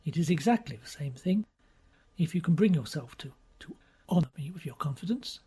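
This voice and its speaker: A woman's voice: low-pitched, lively intonation, fairly quick, dark tone, slightly rough, heavily acted. A woman voicing a flustered, polite male detective who speaks hesitantly and stammers. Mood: gentle.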